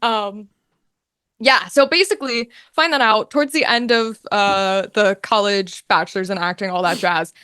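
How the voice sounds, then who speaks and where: A young woman chatting casually as an interview guest, telling her story in an animated, informal way. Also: medium pitch, moderate pace, clear, resonant tone, no echo, studio quality. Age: young adult. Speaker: guest, voice actor.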